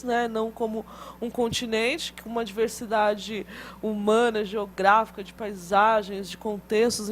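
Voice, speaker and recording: A woman with a fairly high voice lectures a class in a loose, conversational way, often checking in with tag questions and restarting her phrases. A faint background hum runs under the recording.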